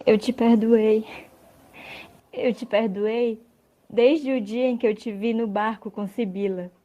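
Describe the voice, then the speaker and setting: Woman as gentle, mellow ghostly queen in love, Brazilian accent. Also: on the high side, fairly even intonation, slow pace. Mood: tender, wistful. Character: Dido, gentle forgiving dead queen in love. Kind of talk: radio drama acting.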